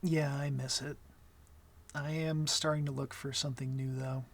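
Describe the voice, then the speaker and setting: A young man playing an awkward, gentle vampire in an intimate ASMR roleplay, talking softly and hesitantly with a wry streak. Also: mid-range voice, little pitch movement, normal speed, close to an everyday voice. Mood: relaxed, amused. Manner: hesitant, soft-spoken, wry.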